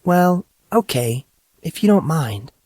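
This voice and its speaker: A woman playing a composed but troubled teenage high school girl in an audio drama, talking in a measured, explanatory way. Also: big pitch swings, warm tone, no echo, clean studio sound, heavily acted. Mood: troubled, confiding.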